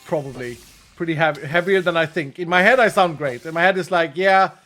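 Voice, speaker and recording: A man's voice: on the high side, fairly quick, a bit bright, faint music behind. A Swedish-born man, Swedish his first language, speaking English with a Swedish accent in a slightly raised voice. He chats loosely with his stream's viewers, laughs at himself and often leaves sentences hanging. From Sweden. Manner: chatty, self-deprecating, laughs often, trails off mid-sentence. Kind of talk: casual chat while gaming, reading chat.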